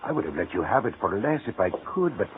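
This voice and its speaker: A man playing a kindly, genial old shopkeeper in a 1940s radio drama, gentle and a little hesitant. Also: on the low side, big pitch swings, fast. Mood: apologetic. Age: older. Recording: tinny, noisy old radio recording.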